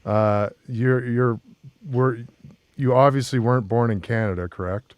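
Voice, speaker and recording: A North American man hosts a talk show in a casual, conversational way. His voice sits at an ordinary male pitch, and the sound is close and dry. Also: fairly even intonation, unhurried, dry recording, dull audio. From Portland, Oregon, United States. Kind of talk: casual interview chat.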